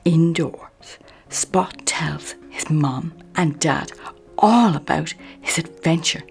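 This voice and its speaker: A woman reading a children's picture book aloud in an expressive, measured bedtime storytelling manner. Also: low voice, creaky, faint music behind.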